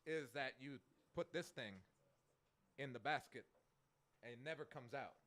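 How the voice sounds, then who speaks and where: A man's voice: medium pitch, unhurried, fairly bright tone. A man joking and teasing on a casual podcast, often restarting his sentences.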